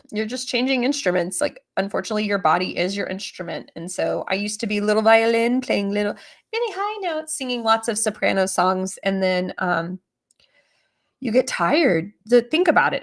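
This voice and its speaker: A young adult woman chatting casually on a livestream, rambling with many fillers, her voice lower than usual today. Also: expressive, moderate pace. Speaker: streamer answering chat.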